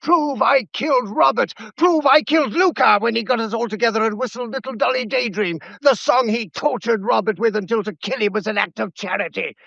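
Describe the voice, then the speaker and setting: An actor playing a cornered, defiant murderer in a radio melodrama, taunting and theatrical. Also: male voice, extremely high pitch, brisk, creaky, hardly any pauses, sharp tone, dry recording, full character voice. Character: cornered, defiant murderer, an adventurer feigning lameness. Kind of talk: mid-century radio melodrama acting. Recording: dull, muffled vintage radio recording.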